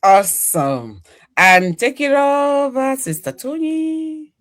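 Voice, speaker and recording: A woman's voice: high-pitched, animated, slow pace. An older woman from Nigeria hosting a live online panel, chatty and full of "you know" fillers. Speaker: podcast host.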